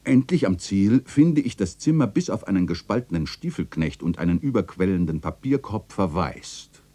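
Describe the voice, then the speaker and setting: Male narrator, low and husky, kindly uncle telling vivid literary tales with lively intonation. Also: normal speed. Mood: wry, measured. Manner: vivid storytelling. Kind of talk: literary narration in a radio play.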